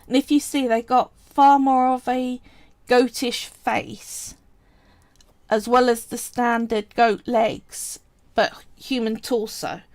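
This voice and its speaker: Woman, high voice, slow unhurried delivery, chatty craft tutorial, dry close sound. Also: little pitch movement, a little mellow, dry recording. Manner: chatty and explanatory, often pausing to say "right" and "so". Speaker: polymer clay tutorial streamer. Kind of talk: casual live-stream craft tutorial.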